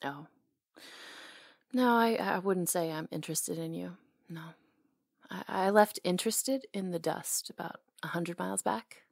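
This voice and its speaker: Female performer as flirty, affectionate salon owner, ASMR romance roleplay. Soft, husky-breathy, slightly low, unhurried, teasing and intimate. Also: dry recording, studio quality. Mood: earnest, affectionate. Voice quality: soft, breathy, sometimes whispery. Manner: teasing, hesitant pauses, intimate. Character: flirty, tender salon owner confessing her crush.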